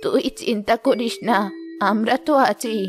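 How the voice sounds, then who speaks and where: A woman voicing a kind, cautious old village grandmother in an animated moral story, gentle and homely. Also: on the high side, normal speed, faint music behind, natural voice. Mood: concerned.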